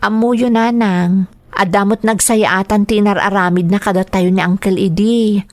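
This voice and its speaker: A woman's voice: mid-range voice, fairly even intonation, moderate pace, dry recording, natural voice. A woman acting as a dutiful, earnest young daughter in an Ilocano radio drama, speaking with a Filipino accent. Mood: concerned, sympathetic. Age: young adult.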